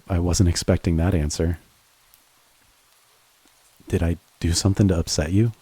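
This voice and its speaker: A man performs a devoted, tender friend turned lover in a romantic audio drama. His voice is deep, dark and soft-edged with some huskiness. He speaks straight to the listener in an earnest, halting way. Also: slightly rough, no echo. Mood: anxious, apologetic. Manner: halting, earnest, with stammered repeats.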